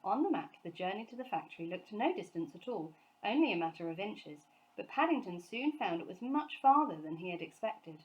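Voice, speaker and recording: A woman storyteller reads for children from a script. Her mid-pitched voice is very expressive, rising and falling freely through the narration. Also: fairly quick, few pauses, muffled recording.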